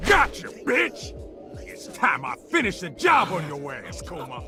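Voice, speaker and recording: An actor playing a vengeful, foul-mouthed fighter in a video game, hurling aggressive taunts. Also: male voice, high-pitched, wildly swooping pitch, measured pace, raised voice, music in the background, exaggerated voice. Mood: threatening. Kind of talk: dramatic video game acting.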